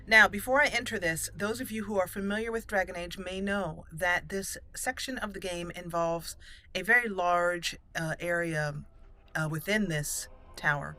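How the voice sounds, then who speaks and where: Bright-voiced woman doing game commentary. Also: fairly low pitch, moderate pace. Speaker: gaming channel host. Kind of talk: roleplay let's play commentary.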